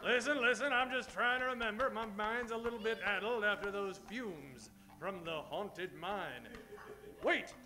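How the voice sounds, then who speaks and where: Man playing a hammy, high-pitched cowboy gunslinger. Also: unhurried, bright, forceful tone, exaggerated voice. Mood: pondering, declamatory. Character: boastful, gold-hungry male cowboy gunslinger hero. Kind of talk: old-time radio western comedy acting.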